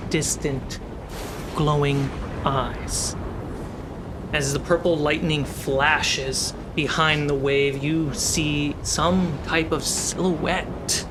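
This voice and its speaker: A game master narrating a tabletop adventure dramatically, with deliberate, suspenseful pauses. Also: mid-range voice, background noise.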